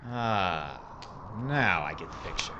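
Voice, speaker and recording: Man playing a slow-talking, wry gunfighter. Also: deep voice, animated, lo-fi sound. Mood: dry, threatening. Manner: deliberate, sardonic, dry. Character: wry, cornered Old West gunfighter, male. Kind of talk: Western audio drama acting. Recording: heavy background noise.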